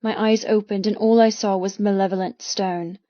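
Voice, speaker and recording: Female audiobook narrator, dramatic intense reading, medium pitch, first-person fiction, very dry, slightly dull recording. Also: steady tone, moderate pace, no echo.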